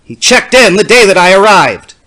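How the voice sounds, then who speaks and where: Lonely, sickly academic narrator for vintage horror , played by a man: formal, precise, brooding, slightly high voice with animated intonation. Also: normal speed, exaggerated voice. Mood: irritated, insistent. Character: lonely, ailing bachelor professor, fussy and brooding narrator. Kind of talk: dramatic reading of a 1930s horror story.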